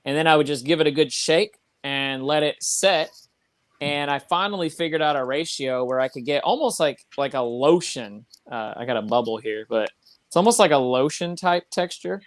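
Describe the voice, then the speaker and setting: A man's voice: on the high side, normal speed, no room sound. A man who runs a small business talks earnestly and at length in a casual chat over a video call. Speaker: small business owner, guest.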